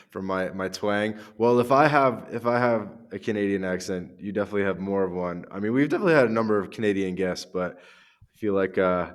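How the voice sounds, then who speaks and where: A man hosting a podcast with a somewhat low voice, asking questions in a relaxed interview style. He hesitates often, filling gaps with ums and uhs. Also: normal speed. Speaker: podcast host. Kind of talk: casual interview.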